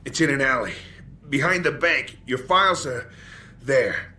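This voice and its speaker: A man playing a wounded, bitter investigator in a video game drama, halting and sardonic as he struggles through pain. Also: medium pitch, lively intonation, bright, forceful tone. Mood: desperate, pained. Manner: halting, sardonic, repeating himself. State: in pain, slurring and faltering. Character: gravely wounded, bitter, foul-mouthed older investigator on morphine.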